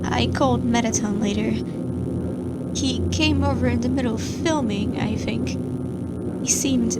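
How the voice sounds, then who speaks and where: Woman acting a shaken, halting scientist on noisy tape. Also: on the high side. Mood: guilty, weary. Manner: halting, stammering, hesitant, slow. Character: shaken, anxious monster scientist keeping a confessional log. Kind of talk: horror audio-log monologue. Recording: heavily noisy analog-horror tape sound.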